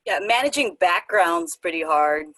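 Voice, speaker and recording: A woman's voice: lowish voice, expressive, normal speed, a bit bright, no room sound. A woman, a theatre performer, chatting casually on an online panel. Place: remote video call.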